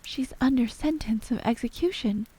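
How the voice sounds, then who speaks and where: Storybook rabbit, male, timid and anxious, female performer. High, hushed, frightened delivery. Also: slightly rough, rough recording. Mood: anxious, secretive. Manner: hushed, fearful, hurried whispering.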